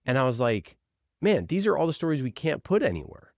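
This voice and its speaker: A man's voice: a little high, very animated, fairly quick, a little mellow, no room sound, clean studio sound. A man talking casually as an interviewed podcast producer, telling stories in an informal, enthusiastic way peppered with "like". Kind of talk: casual conversational storytelling.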